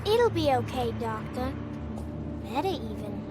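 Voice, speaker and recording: A woman's voice: a little high, sing-song, unhurried. A woman playing an eerie, blithe little girl in a horror video game. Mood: soothing. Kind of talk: video game cutscene acting. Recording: loud music and crowd behind.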